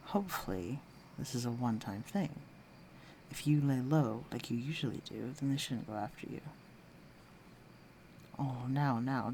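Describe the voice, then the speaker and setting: A man acts out a self-assured fantasy villain in an intimate roleplay audio drama. His mid-pitched voice has a gravelly fry and moves at an unhurried, teasing pace, flirtatious yet protective. Faint night noise and crickets sit behind him. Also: natural voice. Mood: protective, chiding. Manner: teasing, self-assured, unhurried, dropping into hushed near-whispers. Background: night ambience with crickets.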